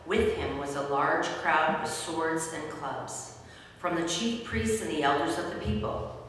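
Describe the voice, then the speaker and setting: A woman narrates scripture from a script in a fairly low voice. She is far from the mic in a very reverberant hall, with faint noise. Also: unhurried, a bit bright.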